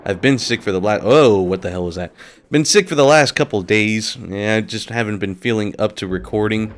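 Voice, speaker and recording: A man's voice: on the low side, a little fast. A man hosting a let's play, talking casually and rambling while sick and a little nasal. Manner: casual, rambling, laughs often. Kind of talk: gameplay commentary.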